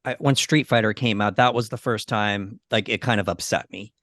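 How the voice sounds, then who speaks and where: A man in his thirties or forties co-hosting a casual movie discussion, with measured, slightly hesitant phrasing. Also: on the low side, steady tone, a bit bright, no room sound, studio quality. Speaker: podcast co-host.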